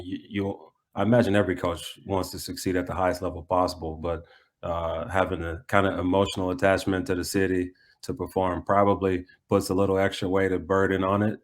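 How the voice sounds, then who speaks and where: A man's voice: low voice, darkish tone. An American man, a soccer head coach, answering interview questions conversationally. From United States.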